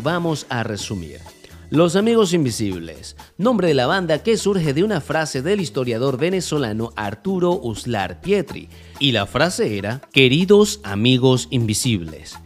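A man with a Latin American Spanish accent, hosting a music radio podcast segment in a scripted, emphatic announcer style. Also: on the high side. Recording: music playing behind the voice.

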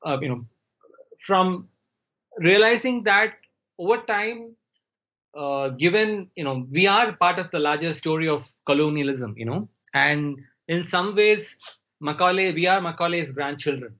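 A man, a Tamil speaker, thinking aloud as he answers. His voice sits a little high and comes through dull, lo-fi call audio. He searches for his words slowly and speaks in a measured way. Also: expressive, sharp tone. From India. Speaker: guest storyteller. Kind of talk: reflective interview talk.

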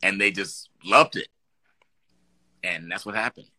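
A middle-aged man, a guest actor, telling animated stories from his work in an interview. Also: deep voice, measured pace. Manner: animated, lively storyteller with restarts. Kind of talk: storytelling in an interview.

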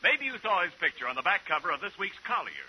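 A man announcing on 1940s radio in a polished, emphatic sponsor-pitch style. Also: very animated, fast. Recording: faintly noisy old radio recording.

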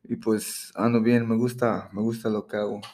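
A man in his thirties, from Mexico and raised in Florida, answering questions hesitantly with many pauses as a rapper guest. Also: lowish voice, little pitch movement, a little mellow. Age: 30s. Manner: hesitant, many ums and restarts. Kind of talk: casual interview.

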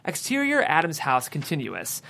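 A man's voice: a little high, wildly swooping pitch, moderate pace, vocal fry, bright, forceful tone. A man narrating the stage directions at a screenplay table read.

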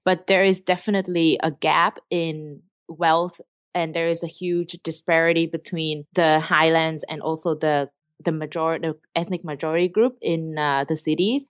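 A woman's voice: on the low side, no echo, clean studio sound. A young woman from Vietnam with a Vietnamese accent, earnestly explaining her work at length in an interview. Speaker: startup cofounder guest. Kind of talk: interview answers.

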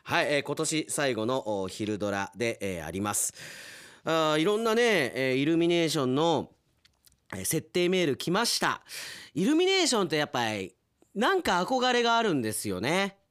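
A man's voice: on the high side, very animated, normal speed, fairly bright tone, clean tone, no echo, clean studio sound. A man hosting a radio show, chatting casually and playfully like an announcer, with conversational asides.

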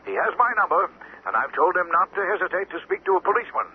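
An actor playing a reassuring, attentive doctor on the telephone in an old-time radio drama, thin and hissy. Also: male voice, sits high, very animated, racing, narrow, tinny audio.

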